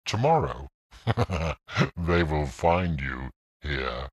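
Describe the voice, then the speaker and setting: A vengeful ghost voiced by a man speaks in a husky, menacing voice for a gothic audio play. The delivery is theatrical and taunting, swinging widely in pitch, and sounds close and dry. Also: lively intonation, no room sound, exaggerated voice. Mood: gloating. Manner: slow, menacing, declamatory. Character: vengeful male ghost, theatrical, coldly taunting his cousin.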